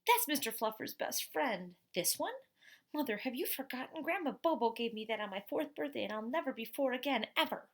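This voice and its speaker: A woman's voice: fairly high pitch, expressive, fairly quick, pitched above the performer's own voice. A woman voicing a stubborn, theatrical young boy who pleads and haggles, in a storybook reading. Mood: indignant.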